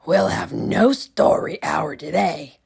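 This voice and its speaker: A woman's voice: low voice, slow, vocal fry, darkish tone, breathy edge, exaggerated voice. A woman voicing a mean, witchy female substitute teacher who hisses and snaps out strict commands for a storybook. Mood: stern, snapping. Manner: hissing, snapping, strict commands.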